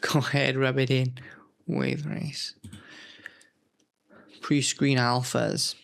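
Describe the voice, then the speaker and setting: Unwell man with a gravelly, fry-laden voice, mid-pitched, leafing through old magazines and rambling to camera with plenty of self-corrections. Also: flat delivery, takes it slowly, a bit bright. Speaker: gaming channel host. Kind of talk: casual nostalgic commentary.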